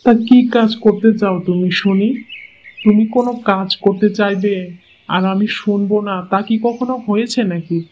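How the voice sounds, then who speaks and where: High-voiced doting village husband played by a man, lo-fi. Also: moderate pace, lightly acted. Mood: affectionate. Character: doting, easygoing village husband, indulgent toward his wife. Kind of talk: cartoon moral-story voice acting. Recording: dull lo-fi sound with clear noise.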